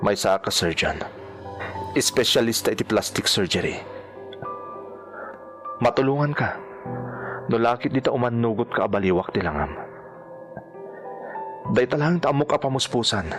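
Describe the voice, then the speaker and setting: A man's voice: mid-range voice, expressive, normal speed, long pauses, close to an everyday voice. Earnest Ilocano surgeon played by a man over music. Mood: earnest, intent. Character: earnest male plastic surgeon searching for his missing wife. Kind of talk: Ilocano radio drama acting. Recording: radio drama with music underneath.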